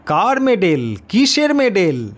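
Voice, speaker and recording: Man playing a stern schoolmaster, raised pitch. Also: wildly swooping pitch, takes it slowly, full character voice. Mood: curious. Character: stern, curious schoolmaster scolding and questioning pupils.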